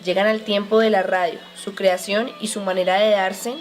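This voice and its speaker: A woman serves as narrator of a radio drama. Her voice is of average pitch and she reads scripted links between scenes. Music plays softly behind her. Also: level tone, normal speed.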